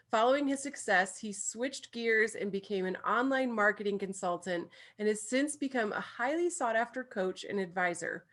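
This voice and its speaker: A woman co-hosting a podcast, upbeat and enthusiastic as she reads an introduction and chats. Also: on the high side. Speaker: podcast co-host. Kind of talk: scripted guest introduction and casual chat.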